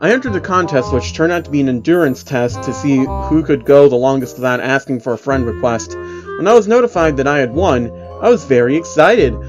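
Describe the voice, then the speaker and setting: A man's voice: medium pitch, lively intonation, brisk. A man giving a mocking, amused dramatic reading of an online horror story as its narrator. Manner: amused, exaggerated, often breaking character. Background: faint music.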